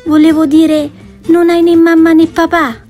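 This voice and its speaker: A woman's voice: very high voice, level tone, measured pace, mellow, faint music behind, voice pushed up. A woman voicing a shy little girl who speaks hesitantly and earnestly. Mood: timid.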